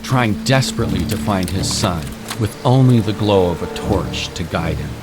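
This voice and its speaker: A man's voice: deep voice, lively intonation, moderate pace. A man giving dramatic scripted narration of a story. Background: music.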